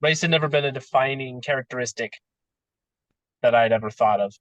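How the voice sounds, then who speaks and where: A man's voice: medium pitch, brisk, a bit bright, no echo. A young man chatting casually as a student in a discussion over a dull video call, hesitant and trailing off. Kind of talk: casual chat.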